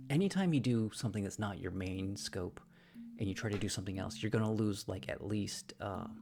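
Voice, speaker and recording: A man livestreaming casually from a room in a shared house, rambling with frequent restarts and fillers. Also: on the low side. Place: room in a shared house with thin, echoey walls. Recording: clear music playing underneath.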